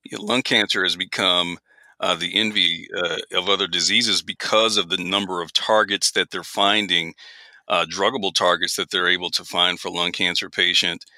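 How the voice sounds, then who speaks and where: A male podcast host asks his guest questions in a measured way, with a few fillers. His voice sits low but sounds very bright and forceful. It is recorded dry, with no room sound. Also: fairly even intonation, no echo. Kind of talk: podcast interview.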